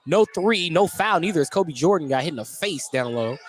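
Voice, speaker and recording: A man's voice: on the high side, lively intonation, a little fast. A man calling a live basketball game on the radio as the play-by-play announcer. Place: at courtside or press position in a gym during a game.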